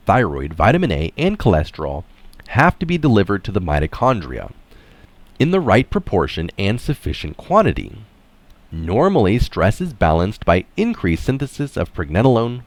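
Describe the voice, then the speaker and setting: Deep-voiced man reading an article, lively intonation. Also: moderate pace, no room sound. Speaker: reader and commentator. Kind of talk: read-aloud article with informal asides.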